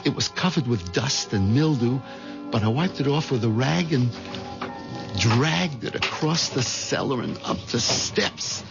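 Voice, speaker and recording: A man's voice: medium pitch, expressive, unhurried, creaky. A man acting a nervous, hesitant American husband caught up in a murder plot, in a 1970s radio drama. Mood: grim, confessional. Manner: hesitant, stammering under pressure. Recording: dull vintage radio recording with music behind.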